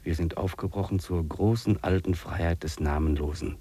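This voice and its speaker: An actor playing a weary, dreamy wilderness hiker in a radio drama, reflective and poetic, with a gravelly, husky voice. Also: male voice, low-pitched, moderate pace, mellow. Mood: solemn, reflective. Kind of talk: 1950s German radio drama acting.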